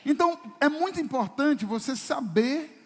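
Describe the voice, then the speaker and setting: A man's voice: very high voice, lively intonation, normal speed, darkish tone. A man preaching a sermon as pastor, emphatic and full of rhetorical questions to the congregation. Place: church service hall. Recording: long reverb of a church hall.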